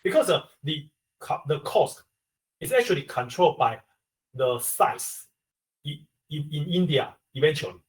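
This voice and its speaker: Taiwanese-accented man, expert guest, slightly high voice, halting explanatory English, distant mic on a video call. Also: lively intonation. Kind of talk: webinar answer to a question.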